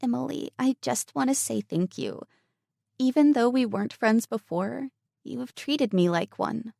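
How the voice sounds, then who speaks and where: Woman doing a tearful young woman, crying, high trembling voice, dry sound. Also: lively intonation, measured pace, creaky, mellow, no room sound, full character voice. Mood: grateful. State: crying, choked up.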